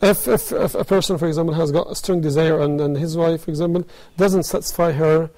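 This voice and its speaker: A man's voice: on the high side, steady tone, fairly quick, a little mellow. A man speaking as an expert guest, explaining things in a panel discussion.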